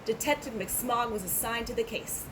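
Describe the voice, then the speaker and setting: A woman's voice: on the high side, moderate pace, noisy background. A woman reading a children's storybook aloud, adding casual asides. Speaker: storybook reader.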